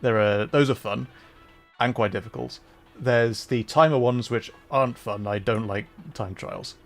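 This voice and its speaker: Casual gravelly man streaming a game. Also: mid-range voice, a little slow, soft background music. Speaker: livestreamer playing a video game. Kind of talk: casual game livestream commentary.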